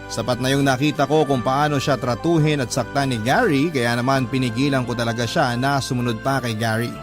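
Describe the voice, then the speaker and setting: Actor as earnest Filipino storyteller over music. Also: male voice, mid-range voice, steady tone, brisk, close to an everyday voice. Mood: reflective, earnest. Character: protective young male letter-sender recounting his love story. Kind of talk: scripted first-person radio drama narration. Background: background music.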